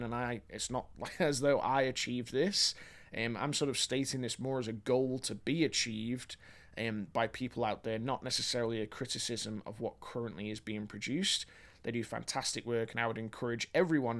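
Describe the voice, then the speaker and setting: A man, born in England, presenting video essay commentary in an articulate, measured, academic manner. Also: medium pitch. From born in England, grew up mostly in Australia.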